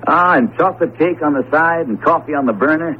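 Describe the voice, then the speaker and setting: An actor playing a breezy, self-assured psychology professor who talks colloquially in a radio drama. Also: male voice, a little high, brisk, natural voice. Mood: delighted, jovial. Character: breezy, skeptical male psychology professor, hearty eater. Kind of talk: 1970s radio drama acting. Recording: muffled noisy old radio broadcast.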